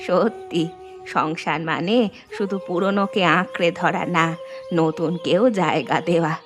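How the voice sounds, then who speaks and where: A woman voicing an affectionate old mother-in-law in an animated moral story, speaking tenderly with homely advice. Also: lowish voice, measured pace, close to an everyday voice. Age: older. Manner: tender, advising, plain homely speech. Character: old Bengali mother-in-law, affectionate, gentle, home cook. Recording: music behind the voice.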